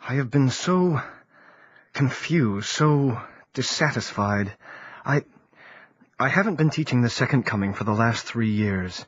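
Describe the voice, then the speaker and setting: An actor playing an earnest, devout 1840s preacher in a radio drama. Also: male voice, mid-range voice, expressive, a little slow, long pauses, slightly rough, no echo. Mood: troubled. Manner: earnest, heartfelt delivery. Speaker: Charles Fitch. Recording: dull lo-fi recording with music.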